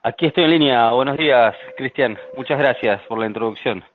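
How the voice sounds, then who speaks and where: A man with an Argentine accent presenting a product in a webinar, speaking in a measured way with frequent hesitations. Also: medium pitch, a little hiss or hum. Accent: Argentine Spanish. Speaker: sales engineer presenting a product. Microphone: computer mic over an online call. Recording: tinny phone-like line, dry.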